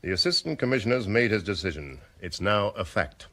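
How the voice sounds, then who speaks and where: A man's voice: on the low side, animated, a little fast, bright, forceful tone. A man playing a stiff, sarcastic police superintendent in a vintage radio drama, curt and reproving.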